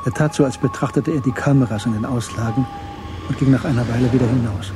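A man narrating a German radio crime drama in a measured, matter-of-fact way. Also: mid-range voice, over loud music. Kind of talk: scripted audio drama narration.

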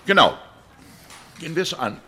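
An actor playing a self-absorbed, erratic artist in a radio play, speaking in halting fragments and abrupt outbursts. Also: male voice, a little high, sing-song, sharp tone, breathy edge, heavily acted. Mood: stammering, scattered.

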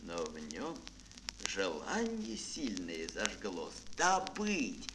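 A man narrating a children's fairy tale theatrically, with dramatic phrasing and pauses, as a storyteller in an audio play. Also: low voice, wildly swooping pitch, noisy background. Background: music.

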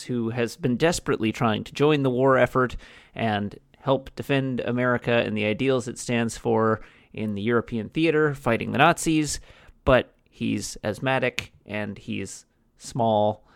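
A man's voice: medium pitch, vocal fry, no echo. A young man co-hosting a casual movie podcast, dry and matter-of-fact as he recaps a plot. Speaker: podcast co-host.

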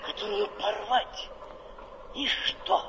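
A man's voice: high-pitched, takes it slowly. An actor playing a melancholy aging man of letters in love, reciting with heartfelt, theatrical declamation in a radio drama. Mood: ardent, regretful. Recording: noisy, hissy radio play recording.

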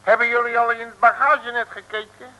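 An actor playing a cheeky, blustering detective with a Dutch accent and folksy slangy talk, in an old comic radio play. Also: male voice, very high voice, little pitch movement, fairly quick, pushed and bright, exaggerated voice, low-quality recording. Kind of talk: 1950s-60s comic radio play acting.

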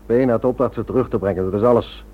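A slightly muffled radio drama recording presents an actor as a self-assured male accomplice. His medium-pitched voice is polite and unruffled, dismissive when challenged. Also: rapid-fire, lightly acted. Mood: firm, dismissive. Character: smooth-talking, self-assured male accomplice of a crime boss. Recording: dull old radio recording.

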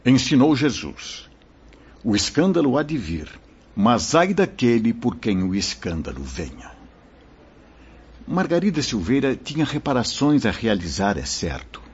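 A Brazilian man playing a kindly, solemn spirit mentor who exhorts in a measured, preacherly way in a radio drama. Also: mid-range voice, sing-song, slightly rough, heavily acted. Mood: earnest, admonishing. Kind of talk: Portuguese radio drama acting. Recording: dull lo-fi audio.

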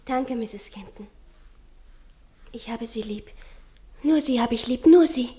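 An actress playing a clingy, jealous twelve-year-old girl in a 1950s German radio play, intense and breathy, often dropping to whispers. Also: a little high, slow, warm tone. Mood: adoring, secretive.

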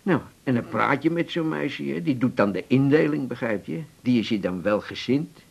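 A gravelly, animated older charmer. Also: male voice, mid-range voice, normal speed, highs cut off. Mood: coaxing. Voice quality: gravelly, husky. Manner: folksy, chuckling, persuasive. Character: jovial, coaxing older man courting a companion. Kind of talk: Dutch radio drama acting.

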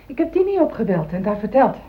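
A woman's voice: fairly high pitch, sing-song, fast. An actress in a Dutch radio play, playing a pragmatic, ambitious housewife who insistently reassures and backs her husband. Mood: eager. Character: loyal, ambitious middle-aged housewife, pragmatic, supportive of husband. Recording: dull old radio recording.

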